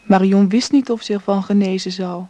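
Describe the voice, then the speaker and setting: A woman narrating a radio drama in a calm, even way. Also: mid-range voice, brisk, slight background noise.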